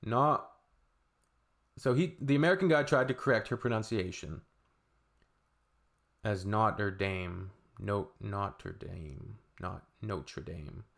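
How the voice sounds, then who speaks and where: A man's voice: lowish voice, expressive, slow, vocal fry, long pauses, fairly bright tone. A man, hosting a reaction video in a loose, rambling way with shrugging asides. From Canada. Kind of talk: casual reaction commentary.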